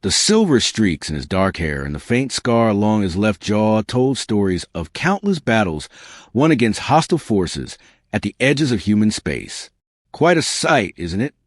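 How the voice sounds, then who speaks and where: A man's voice: lowish voice, sing-song, normal speed, no room sound, studio quality. A man reading scripted science fiction audiobook narration as a storyteller.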